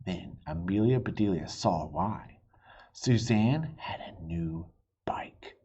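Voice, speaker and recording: A man with a mid-pitched, rough-edged voice reads to children as a storytime host. His delivery is highly animated and expressive, with explanatory asides for young listeners. The recording sounds a little dull and lo-fi. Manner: animated, pausing to explain and ask listeners questions. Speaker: children's read-aloud host.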